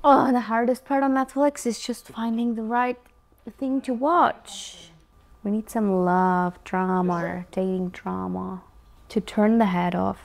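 A young woman from Austria, chatting casually to the camera as a vlogger, relaxed and talkative. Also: mid-range voice, slow pace, creaky. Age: young adult.

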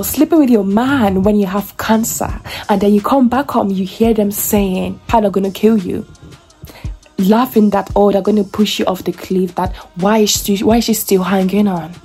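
A woman's voice: mid-range voice, warm tone, breathy edge. A young woman talking to her phone camera from bed in a bedroom, chatty and confiding as she eagerly recommends something. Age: young adult. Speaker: vlogger. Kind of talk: casual vlog chat to camera.

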